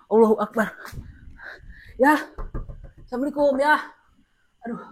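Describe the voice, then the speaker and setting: A woman in a state of panic, her voice pitched fairly high as she cries the same short prayers again and again. She shouts in fear, words tumbling out fast, with a faint hiss of noise behind her. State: frightened, shouting. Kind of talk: frantic real-time reaction.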